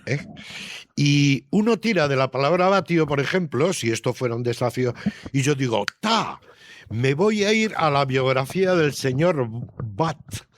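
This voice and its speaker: A man's voice: on the high side, lively intonation, moderate pace, no echo. An older man answering as an interviewed writer, thoughtful and didactic, often adding tag questions to seek agreement.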